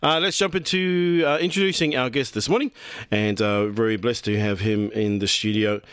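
Guy hosting a radio show, lowish voice, rambly, dry studio mic. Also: fairly even intonation, normal speed, clean tone, no room sound. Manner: warm-hearted, rambling, many fillers and restarts. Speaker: radio host. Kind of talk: community radio presenting and interviewing.

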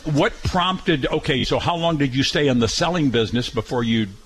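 A man's voice: medium pitch, expressive, fairly quick, a little hiss or hum, rough recording. Chatty male radio host. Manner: chatty, friendly banter. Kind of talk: radio interview.

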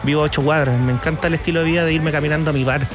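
A man's voice: fairly high pitch, steady tone, rapid-fire, music in the background. A man with a Chilean accent, chatting casually as an interview guest. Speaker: interview guest, bar owner and sommelier. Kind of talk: casual conversation.

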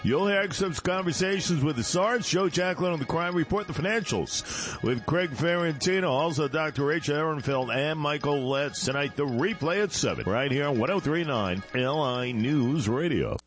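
A man's voice: a little high, animated, moderate pace, faint music behind. A man reading a scripted radio station promo. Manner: smooth, scripted promo delivery.

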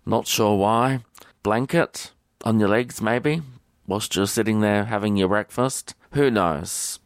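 Croaky-voiced relaxed Australian radio host. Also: male voice, lowish voice, takes it slowly, dry recording, clean studio sound. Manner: relaxed, chatty. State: slightly croaky voice. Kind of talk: casual radio chat.

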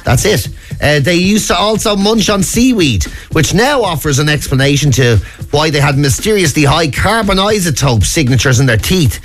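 An Irish man co-hosting morning radio in a studio, his voice a touch high and very lively in pitch. He jokes wryly, putting on mock outrage over small things. The tone is loose studio banter. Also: moderate pace, faint music behind. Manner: wry, mock-indignant joking. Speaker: radio co-host.

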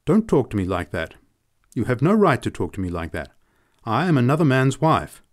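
Guarded, prim young wife, voiced by a male reader, low tone. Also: big pitch swings, normal speed, mellow, no echo, clean studio sound. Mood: indignant. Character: married young woman, cool then frightened. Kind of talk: audiobook character reading.

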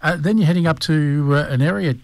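A man's voice: fairly high pitch, fast, dry recording. A man with an Australian accent hosting a morning radio show, chatting matily with a guest and filling gaps with lots of ums and uhs. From Hunter Valley, New South Wales, Australia. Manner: matey, chatty, many fillers. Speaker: radio host. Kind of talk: casual radio interview.

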